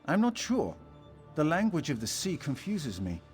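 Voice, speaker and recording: A haughty scholar-adventurer in a fantasy video game, rather high in pitch and very lively in intonation. He is wordy, pompous and forever aggrieved, with faint music behind him. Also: normal speed. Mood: indignant. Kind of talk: video game character dialogue.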